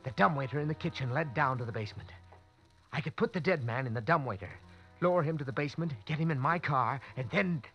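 An actor playing an anxious young lawyer, narrating tensely in first person in a 1940s radio drama. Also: male voice, on the high side, expressive, fast, husky. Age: young adult. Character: panicked young lawyer who wakes beside a corpse. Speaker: narrator and lead. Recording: muffled old radio broadcast with music.

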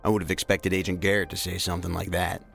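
An actor playing a measured, plainspoken male investigator, a seasoned crime specialist in a science fiction audio drama. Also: sits low, level tone, creaky, fairly bright tone, faint music behind. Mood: dry, inquiring.

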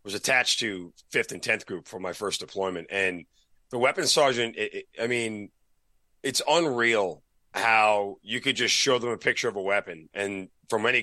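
A man's voice: fairly low pitch, sharp tone, no echo. A man hosting a casual interview, asking friendly questions with enthusiasm. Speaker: podcast host.

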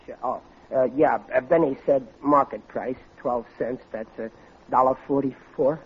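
A man's voice: mid-range voice, steady tone, normal speed, breathy edge, natural voice. An actor playing a hapless, nervous working-class husband and father in a 1940s radio comedy, stammering and folksy. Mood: flustered, nervous. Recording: dull, noisy old radio recording.

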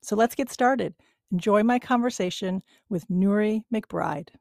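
A woman's voice: mid-range voice, measured pace, creaky, a little mellow, no echo, clean studio sound. A middle-aged woman hosting a podcast, reading a scripted intro and then chatting with a guest in an upbeat, enthusiastic way. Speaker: podcast host.

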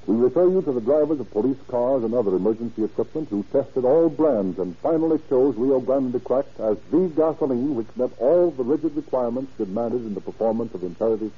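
A man's voice: mid-range voice, slightly rough. A man announcing and narrating in a formal, declamatory 1930s radio style. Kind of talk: 1930s radio announcing, scripted sponsor pitch. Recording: dull, muffled old radio recording.

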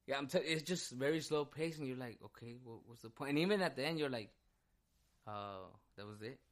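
A man co-hosting a chat podcast, talking in an easygoing, loose way. His voice sits at an ordinary middle pitch, and he drops in casual fillers as he goes. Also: a little fast. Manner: relaxed, loose, says "yeah" and "dude" a lot. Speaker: podcast co-host.

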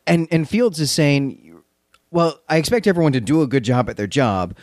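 A man hosting a literary podcast in an animated, conversational voice. His pitch moves around a lot as he explains and stresses key words. The sound is dry and close to the microphone. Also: normal speed, no echo. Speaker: podcast host. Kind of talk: conversational literary recap and commentary.

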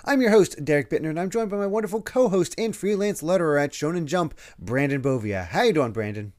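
A man hosting a casual podcast, his voice a little croaky from a recent illness. He laughs often and retells scenes in quick quoted voices. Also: on the high side, expressive, darkish tone. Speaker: podcast host.

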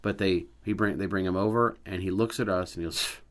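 A man's voice: low voice, fairly even intonation, brisk. A man in casual movie-podcast chat, telling a rambling anecdote and swearing freely.

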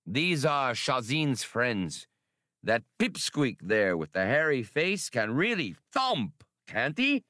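A man's voice: big pitch swings, slow pace, bright, forceful tone, no echo, clean studio sound, exaggerated voice. A man voicing a big, battle-scarred alien swimmer bull in an audiobook, proud and boisterous, who speaks grandly of himself. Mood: jovial, hearty. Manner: booming, boastful, speaks of himself in third person.

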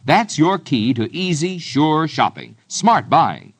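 A man's voice: on the high side, sing-song, slow pace, husky. A man announcing a scripted vintage radio commercial with emphatic sales patter. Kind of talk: 1950s radio advertisement, scripted.

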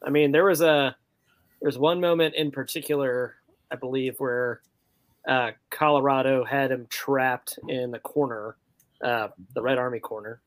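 A man's voice: medium pitch, no echo, poor audio. A man chatting casually about sports as a panelist on a podcast.

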